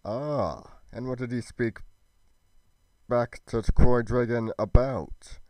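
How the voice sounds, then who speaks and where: Game roleplay ruler: man acting imperious and distrustful, low and quiet, very slow, formal archaic diction, dry close sound. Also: no echo, natural voice. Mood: cold suspicion. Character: haughty, suspicious male ruler questioning his informant. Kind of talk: amateur game roleplay drama.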